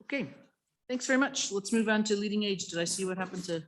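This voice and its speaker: A committee chair running a legislative meeting, calling on speakers in a brisk, informal way. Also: medium pitch. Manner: brisk, informal, joking with attendees. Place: legislative committee hearing room.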